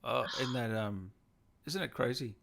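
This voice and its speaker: A man with a bright, clear-cut tone hosts a casual chat as interviewer. His pitch is average for a man. He comes across as agreeable and a little hesitant as he puts his questions. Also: normal speed. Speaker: interviewer, channel host. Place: on a video call.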